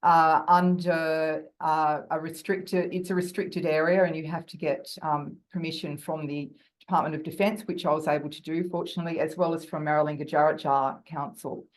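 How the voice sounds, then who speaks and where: A woman with a croaky voice giving an academic talk over a video call, thoughtful and full of fillers and restarts. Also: deep voice, fairly even intonation, normal speed, poor audio. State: croaky from bronchitis. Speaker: guest lecturer.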